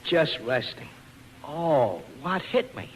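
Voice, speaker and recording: An actor playing an easygoing, naive sidekick in 1940s radio style. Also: male voice, on the high side, very animated, takes it slowly, heavily acted. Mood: dazed, puzzled. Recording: faintly noisy 1940s radio recording.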